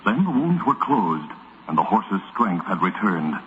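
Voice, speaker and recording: A man's voice: sits low, big pitch swings, a little slow, a bit bright, slight background noise. An American man narrating an old radio western in a dramatic, solemn 1940s storytelling style. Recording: muffled vintage radio recording.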